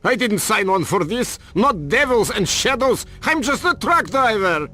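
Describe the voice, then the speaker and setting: A raving, terrified truck driver in a video game, with a high, sharp voice. He is panicky and repeats himself in slow, haunted bursts. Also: sing-song, faint music behind, heavily acted. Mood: panicked. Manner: panicky, muttering, repetitive. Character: terrified male truck driver, raving, half-mad with fear. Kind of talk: video game cutscene acting.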